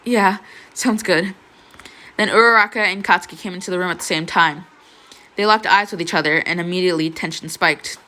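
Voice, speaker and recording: A woman's voice: fairly low pitch, fast talker, sharp tone. A young adult woman reading fan fiction as an amateur narrator. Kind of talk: expressive scripted story narration, casual asides.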